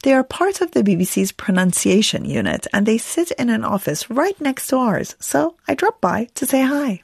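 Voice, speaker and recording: A woman hosting a radio interview show, talking lively with her guests and laughing easily. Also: on the high side, normal speed, gravelly, breathy edge, no echo, clean studio sound. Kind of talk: presenting and interviewing. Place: office at a broadcaster.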